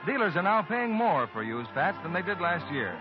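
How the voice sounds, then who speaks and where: Guy doing old radio ad, swoopy highish voice, music behind. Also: big pitch swings, normal speed. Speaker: announcer. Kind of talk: vintage radio advertisement. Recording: dull old radio recording.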